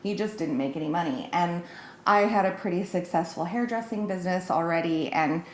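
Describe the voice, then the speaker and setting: An echoey room with noticeable noise surrounds a woman in her thirties. Her voice is on the lower side, and she tells personal stories in a casual, conversational way. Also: brisk. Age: 30s. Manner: informal, conversational. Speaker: podcast guest, hair stylist. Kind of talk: casual chat, personal anecdotes.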